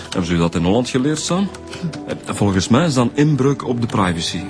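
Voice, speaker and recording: A man's voice: medium pitch, very animated, fast talker, music in the background, natural voice. A man acting as a sceptical, teasing police detective in a radio drama, casual and wry, with a Belgian accent.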